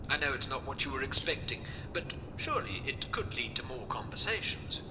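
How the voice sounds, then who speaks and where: An actor playing a theatrical, fawning aristocratic socialite in an audio drama, effusive and full of flattery. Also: a little fast, natural voice. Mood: coy, flattering. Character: fawning, theatrical aristocratic socialite handling a party guest list. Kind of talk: scripted audio drama acting. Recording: long reverb, clear rumbling background noise.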